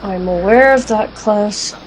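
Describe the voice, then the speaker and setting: A woman's voice: medium pitch, a little slow, slight background noise, natural voice, low-quality recording. A woman playing a defiant, sardonic alchemist, wry and assertive, in an audio drama with narration. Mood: dry. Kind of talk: first-person narration and audio drama acting.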